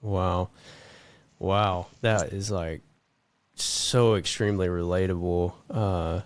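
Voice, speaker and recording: A man with a very deep voice hosts a relaxed conversation, easing his guest along with soft, encouraging prompts. The sound is dry and close, with no room around it. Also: no room sound. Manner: gentle, encouraging, quick to affirm. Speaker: podcast host. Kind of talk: casual interview conversation.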